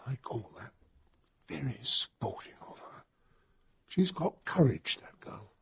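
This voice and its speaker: Posh english sidekick chap, radio play, gallant n naive, super animated, husky breathy voice. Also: male voice, mid-range voice, takes it slowly, heavily acted. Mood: admiring. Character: gallant, easily charmed English gentleman sidekick. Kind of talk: radio drama acting.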